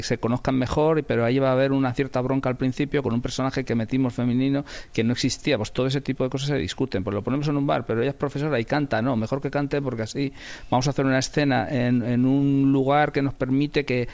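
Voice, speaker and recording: A dull, lo-fi interview recording of a man with a Castilian Spanish accent. He has a medium-pitched voice and speaks conversationally. His delivery is emphatic, working through ideas aloud and restating them as he goes. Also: fairly even intonation, fast talker, darkish tone. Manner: emphatic, thinks aloud, repeats himself. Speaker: radio drama director and producer.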